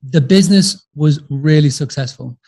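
A man's voice: on the high side, normal speed, dark tone, husky. Chatty Yorkshire man telling his story. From England, Yorkshire. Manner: chatty, friendly, frequent restarts and repeated words. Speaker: podcast guest. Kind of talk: casual storytelling interview.

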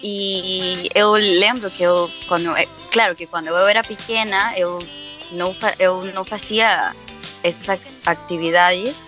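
A young woman guest, speaking Portuguese slowly and carefully. Her voice is bright and clear, and she stops often to restart words. Faint music plays behind her. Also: fairly low pitch, fairly even intonation. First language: Spanish. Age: young adult. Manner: hesitant, halting, often restarting words and laughing. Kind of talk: live interview.

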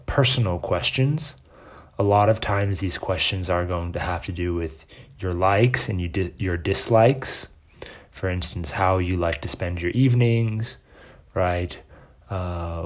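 A young man with a very low, deep voice walks through exam tips in a relaxed, unscripted way. He talks like a friendly language assistant, with plenty of ums and filler words between his points. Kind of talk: casual exam-prep lesson to camera.

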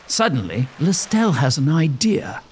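A man narrates a dramatic scripted tale in a fairly high, very expressive voice. His delivery is theatrical and suspenseful, over faint background noise. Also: moderate pace.

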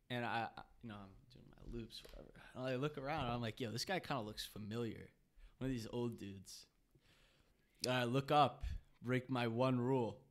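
A man's voice: fairly high pitch, pushed and bright. A young man with Russian as his first language, a podcast guest chatting casually, tired, laughing and slangy as he tells stories. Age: young adult. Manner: loose, laughing storyteller, heavy slang. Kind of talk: casual chat.

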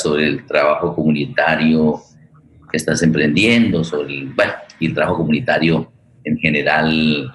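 A Colombian man hosting a forum in a halting, conversational way, searching for words, in an echoey room. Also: extremely low pitch, a bit bright. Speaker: host, theatre director and playwright.

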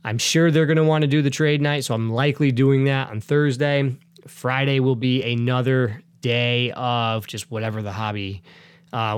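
A man's voice: medium pitch, no room sound. Chatty middle-aged man, dry close mic. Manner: rambling, chatty, self-correcting. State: getting over a cold, sniffly. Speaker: podcast host. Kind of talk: casual solo podcast chat.

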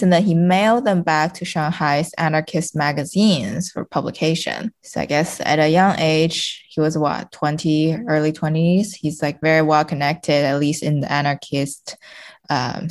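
A woman in her twenties discussing books casually as a podcast guest, talking tentatively and informally as she searches for words. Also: low voice. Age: 20s.

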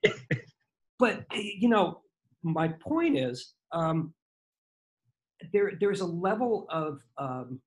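An older man chats easily as a podcast guest, wandering through self-deprecating stories full of ums and restarts. His voice sits a little high for a man. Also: sing-song, very slow, long pauses. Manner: rambling, self-deprecating storyteller with many fillers. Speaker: guest, franchise consultant. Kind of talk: casual interview chat. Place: home.

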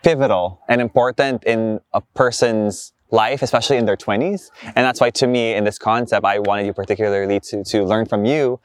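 A man's voice: on the low side, fairly even intonation, brisk, few pauses, clear, resonant tone. A young man hosting a casual in-person interview in a chatty, conversational way. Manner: chatty, frequent laughter. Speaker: host, interviewer. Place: outdoors, in person.

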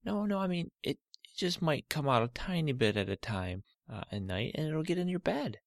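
A man's voice: on the high side, big pitch swings, normal speed, creaky, dry recording, clean studio sound, pitched above the performer's own voice. A man voicing a patient father, soothing and reassuring in tone. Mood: coaxing.

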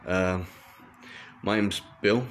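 A man's voice: fairly low pitch, fairly even intonation, drawn-out words, a little hiss or hum. An actor playing a nervous young grad student delivering orders, stumbling over his words.

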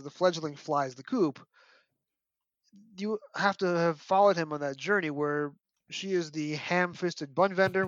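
A man's voice: fairly high pitch, dry recording. A man giving an articulate, detailed analysis as a guest in a podcast discussion. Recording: dull, dry lo-fi audio.

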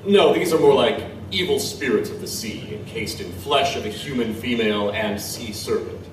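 A man playing a wry, knowledgeable tribesman in an audio drama, measured and musing as he tells lore. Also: mid-range voice, natural voice. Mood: grave, explaining. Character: wry, knowledgeable Chinook tribesman, old friend and lore-teller. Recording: roomy, distant, faint background noise.

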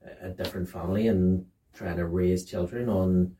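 Very deep-voiced man, echoey and distant. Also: normal speed. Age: late 30s. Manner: relaxed, reflective, swears casually. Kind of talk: casual chat. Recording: roomy, distant, faint hiss.

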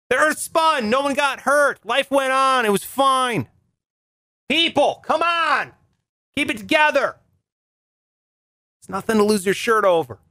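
A young man with a reedy, nasal voice, telling comic stories as a show host in an animated, mock-outraged way. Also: very high-pitched, no room sound, clean studio sound. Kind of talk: comic monologue.

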